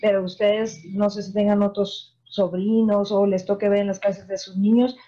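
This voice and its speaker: A woman's voice: mid-range voice, level tone, normal speed, warm tone. A woman, a psychologist explaining things to parents in a virtual talk and often asking them rhetorical questions. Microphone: video call. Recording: muffled video call audio.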